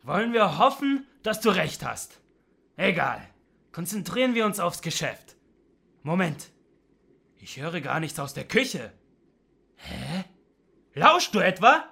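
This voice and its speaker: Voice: mid-range voice, big pitch swings, slow, vocal fry, pauses often, pushed and bright, full character voice. An actor as a bossy, gloating crook with wildly swooping voice. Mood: suspicious. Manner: scornful, boastful, domineering. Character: gloating, bossy adult animal thief, ringleader. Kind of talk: children's audio drama acting.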